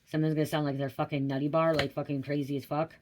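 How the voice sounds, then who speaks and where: A woman's voice: sits low, little pitch movement, quick pace, darkish tone, slight background noise. A middle-aged woman giving a rambling psychic reading, drifting off track, swearing freely and asking "okay?" over and over. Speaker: psychic tarot reader. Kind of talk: rambling casual livestream reading.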